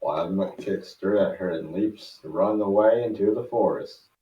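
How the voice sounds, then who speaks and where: Low-voiced man narrating, roomy and distant. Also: moderate pace, dark tone, muffled recording. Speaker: narrator of a fan table read. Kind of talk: amateur script narration. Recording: roomy, distant mic with faint noise.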